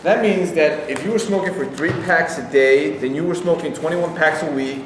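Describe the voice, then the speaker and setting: A man's voice: fairly high pitch, normal speed, natural voice. A man playing an earnest, would-be chivalrous, literal-minded man in a comic staged reading. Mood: earnest, protective. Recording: echoey room, distant mic, background noise.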